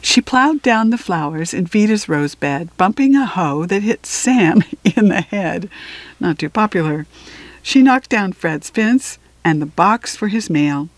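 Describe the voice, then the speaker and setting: A woman reading a children's picture book aloud with expressive phrasing and deliberate pauses. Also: medium pitch, no room sound. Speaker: storybook reader.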